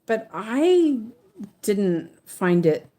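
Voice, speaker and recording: A woman's voice: mid-range voice, sing-song, drawn-out words, dark tone. A woman living in Sweden, chatting casually in a rambling way with many restarts while she works on a stitching project. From English-speaking country, living in Sweden. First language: English. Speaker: stitching channel host.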